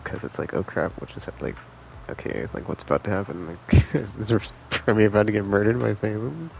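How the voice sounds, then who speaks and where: A man's voice: lowish voice, animated, fairly quick, creaky, darkish tone, slight background noise, rough recording. A man streaming a game live, chatting casually in a rambling, enthusiastic, slangy way. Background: game music.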